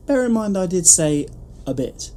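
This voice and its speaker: A man's voice: sits high, big pitch swings, moderate pace, dark tone, slight background noise. A man playing a wry, weary wandering time traveller in an audio drama, flippant and dryly humorous. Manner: flippant, dry humour.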